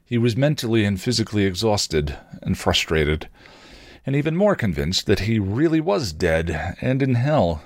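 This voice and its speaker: A man narrates a piece of fan fiction for a podcast. His voice sits somewhat low, and the recording is dry, with little room sound. He reads expressively, as a storyteller. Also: very animated, normal speed, dry recording.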